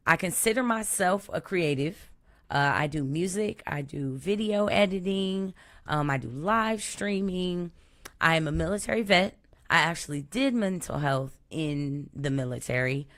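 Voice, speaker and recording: A woman from Texas, hosting a live stream in a relaxed, chatty way over an online call. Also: on the low side, a little slow. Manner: relaxed, chatty, open about personal experience. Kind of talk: casual chat. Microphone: online call or stream mic.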